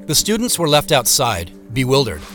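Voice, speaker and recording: An actor with an American accent playing a reflective man who narrates his road trip in a measured, literary audio drama style. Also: medium pitch, huge pitch swings, fairly bright tone, faint music behind. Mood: matter-of-fact storytelling.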